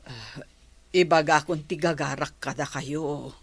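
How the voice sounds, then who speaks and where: A woman's voice: sits low, unhurried, slightly rough, no room sound, exaggerated voice. A woman playing a humble, protective mother who pleads for her child in a radio drama. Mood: humble, hesitant. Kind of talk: Ilocano radio drama acting.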